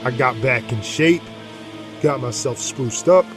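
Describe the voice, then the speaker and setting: A man's voice: mid-range voice, unhurried, fairly bright tone, natural voice. Man acting cocky middle-aged streetwise bruiser over music. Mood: wry confessional. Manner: folksy, streetwise, swaggering. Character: middle-aged brawny reformed-drinker gang enforcer, cocky and menacing. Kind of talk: dramatic monologue and dialogue in audio drama.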